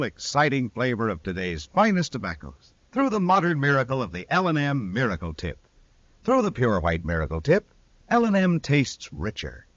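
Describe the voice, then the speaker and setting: A man's voice: medium pitch, dry recording. An American man announcing a scripted 1950s radio commercial in an emphatic, polished way. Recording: dull vintage radio recording.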